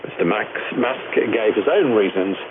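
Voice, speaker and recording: A man's voice: lowish voice, expressive, measured pace, a loud crowd. A man with a New Zealand accent reading a scripted radio news bulletin as presenter. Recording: noisy narrowband shortwave radio broadcast.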